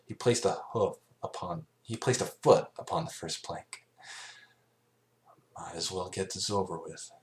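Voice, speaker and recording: A man reading scripted story narration as an amateur, in a halting way, stumbling and rereading phrases. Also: low-pitched. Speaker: amateur fan fiction reader.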